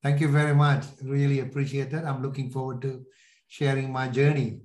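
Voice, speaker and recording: A man in his sixties with a Sri Lankan accent speaks in a relaxed, mellow tone. He recounts his experiences reflectively, often pausing to rephrase. The sound is noticeably echoey, as in a live room. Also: mid-range voice. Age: 60s. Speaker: podcast guest, entrepreneur. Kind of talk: conversational interview, telling life story.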